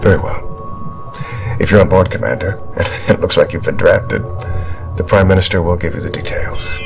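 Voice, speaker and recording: An actor playing a composed, authoritative American president in a video game, speaking in a measured, statesmanlike way. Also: male voice, low voice, steady tone, creaky, faint music behind. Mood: resolved. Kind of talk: video game cutscene acting.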